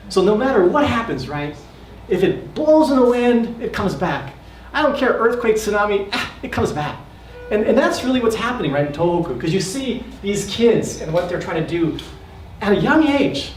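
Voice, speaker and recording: Upbeat man presenting in an echoey hall. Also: high-pitched. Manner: upbeat, encouraging, laughs often. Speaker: event host. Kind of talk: presentation to an audience. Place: event hall. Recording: echoey hall, distant mic, faint noise.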